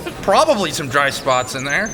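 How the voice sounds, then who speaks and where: Man acting defensive slacker, wildly swinging pitch. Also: wildly swooping pitch, normal speed, bright, forceful tone, a little hiss or hum, full character voice, low-quality recording. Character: defensive, sheepish male slacker making excuses. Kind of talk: comedic audio drama acting.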